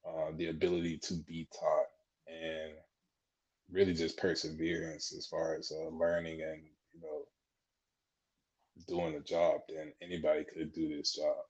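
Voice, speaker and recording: Very deep, husky young man. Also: a little slow, a little mellow. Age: 20s. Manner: explanatory, conversational, frequent "you know". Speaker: podcast guest, air traffic controller trainee. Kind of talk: casual interview answers. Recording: dull, muffled lo-fi audio.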